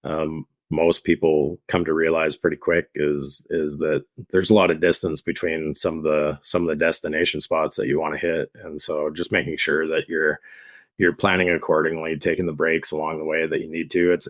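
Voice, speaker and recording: A man with a very deep, flat voice talks as a guest about riding. His speech is halting and rambling, full of restarts. The recording is dry and close. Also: no echo. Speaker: motorcycle tour operator, guest. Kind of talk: casual interview.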